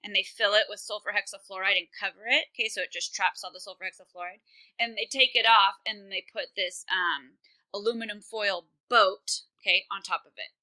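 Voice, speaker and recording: A woman's voice: mid-range voice, steady tone, fairly quick, sharp tone, poor audio. A woman chemistry teacher giving a recorded online lesson, talking casually with many fillers while working problems on screen.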